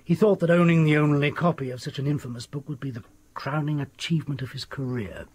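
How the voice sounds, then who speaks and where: A haunted village doctor, very raspy voice, ominous formal talk, radio play. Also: male voice, on the high side, fast talker, a little mellow. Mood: grave, ominous. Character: desperate, frightened country doctor obsessed with the occult. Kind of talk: radio drama acting.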